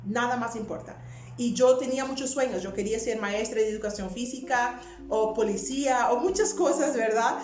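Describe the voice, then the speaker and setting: A woman's voice: fairly high pitch, normal speed, darkish tone, a little hiss or hum. A woman in her twenties from Portugal, earnestly sharing her personal faith testimony in a conversational way. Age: 20s. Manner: earnest, conversational, frequent rhetorical "verdad". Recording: roomy echo with music underneath.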